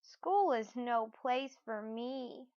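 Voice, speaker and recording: A woman voicing a sensitive little girl in a storybook, speaking softly and wistfully with drawn-out words. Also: on the high side, lively intonation, no room sound, dull audio. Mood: downcast.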